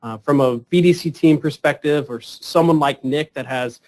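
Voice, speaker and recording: A man hosting a webinar, introducing a product in a halting way with many fillers and repeated words. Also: on the high side, a little mellow. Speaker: webinar host. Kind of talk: semi-scripted product introduction.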